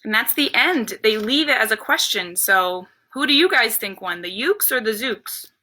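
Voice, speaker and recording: A woman's voice: a little high, expressive, normal speed, pushed and bright. A woman reading a rhyming picture book aloud expressively as a storyteller for children.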